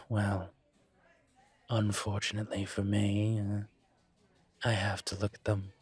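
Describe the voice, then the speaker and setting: A man playing a calm, courteous incubus professor and investigator in an intimate audio roleplay, speaking considerately with careful pauses. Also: fairly low pitch, slightly rough, heavily acted. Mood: gentle, serious. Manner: measured, considerate, hesitant pauses. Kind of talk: scripted audio roleplay, intimate one-sided conversation.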